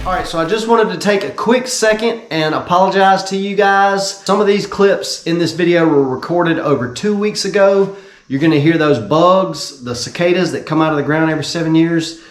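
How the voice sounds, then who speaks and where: A man's voice: on the high side, normal speed, echoey room. A man in his thirties hosting a garage build channel, talking casually to viewers in a voiceover while sounding stuffy and congested from a cold. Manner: easygoing, talks straight to "you guys". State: stuffy, congested from being sick.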